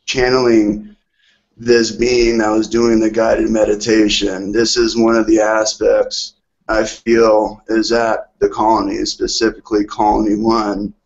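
A man's voice: on the low side, little pitch movement, creaky, highs cut off. A man calling in to speak earnestly and hesitantly about his spiritual experiences. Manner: hesitant, earnest, drawn-out phrasing. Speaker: caller. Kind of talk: slow, reverent spiritual talk.

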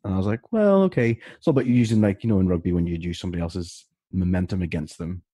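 A man's voice: low-pitched, fast talker, mellow, husky, dry recording, clean studio sound. A tired man co-hosting a podcast, laid-back and joking in casual chat. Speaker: podcast co-host.

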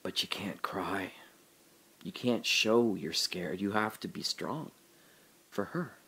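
An actor playing a dying older father, telling his memories in a halting, breathy dramatic monologue that drops to whispers. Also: sits low, bright, forceful tone. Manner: halting, breathy, emotional, many pauses and restarts. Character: dying old father recalling his daughter's birth.

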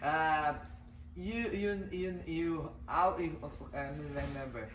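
A woman in her thirties, a native Portuguese speaker and fighter, talking casually in a boxing gym with halting English and many restarts. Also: low voice, distant mic. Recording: echoey gym with clear noise.

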